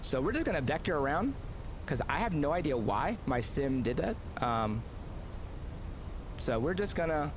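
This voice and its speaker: A man's voice: a little high, moderate pace, long pauses, noisy background. A man streaming a flight simulator, chatting casually and rambling with lots of fillers. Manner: rambling, filler-heavy, excitable. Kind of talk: casual gameplay commentary.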